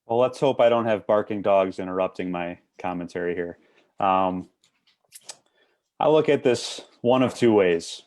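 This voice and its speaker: A man giving conversational sports commentary as a panelist, measured and methodical with a wry touch. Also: sits low, a little mellow.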